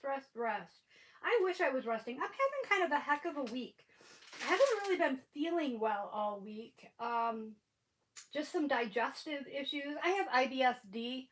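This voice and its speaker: A woman's voice: sits high, poor audio. A woman hosting a casual crafting livestream from home, chatting and rambling with a stuffy, sniffly head cold. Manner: chatty, rambling, laughs often.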